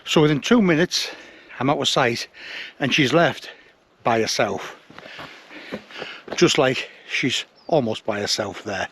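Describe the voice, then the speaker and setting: A man's voice: medium pitch, lively intonation, unhurried, pauses often, a bit bright, slight background noise. A man in his fifties giving a casual, plain-spoken product review to the camera, explaining things step by step. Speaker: outdoor gear reviewer. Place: forest trail outdoors, and small room indoors.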